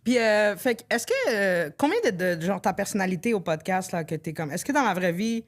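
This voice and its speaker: A woman, hosting a podcast and telling lively stories peppered with slang and English words. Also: mid-range voice, brisk. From Morocco, raised in Montreal. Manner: animated storyteller, Quebec slang and English words. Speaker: podcast host. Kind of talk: casual chat.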